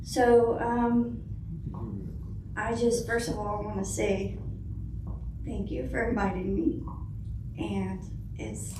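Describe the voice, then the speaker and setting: A middle-aged woman with a soft voice, giving a hesitant personal testimony to an audience. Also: mid-range voice, steady tone, slow pace, pauses often. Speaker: guest speaker, foundation founder. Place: indoor conference room. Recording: roomy hall, distant mic, faint noise.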